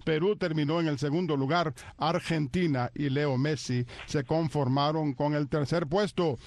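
A man's voice: a little high, fairly even intonation, normal speed, a little mellow, no echo. A man hosting a radio sports show, running through results in an announcer's manner. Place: Miami radio studio.

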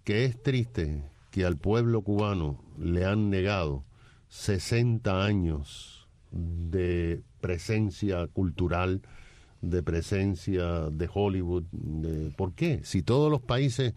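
A man's voice: sits low, takes it slowly, darkish tone, no room sound. A man hosting an interview, conversational, agreeable and full of filler words. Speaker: talk show host.

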